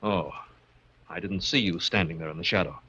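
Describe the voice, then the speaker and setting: An actor playing a haunted, brooding young heir, narrating his own tale dramatically and haltingly in 1940s radio style. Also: low-pitched, breathy edge, heavily acted. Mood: subdued. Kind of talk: 1940s radio acting, first-person narration. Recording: muffled vintage radio broadcast over loud music.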